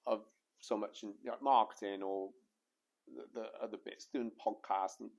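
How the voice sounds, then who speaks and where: Man, podcast co-host, low voice, loose rambling delivery, repeats himself, jokes around. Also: fairly even intonation, normal speed, gravelly, darkish tone. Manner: rambling, repeats words, jokey. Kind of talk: casual podcast conversation.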